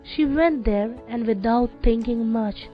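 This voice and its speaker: A woman with a Nepali accent hosting a children's radio show and reading stories aloud in a deliberate, clearly phrased way for young listeners. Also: fairly high pitch. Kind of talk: read-aloud children's storytelling, radio presenting. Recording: dull lo-fi radio audio with music. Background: music.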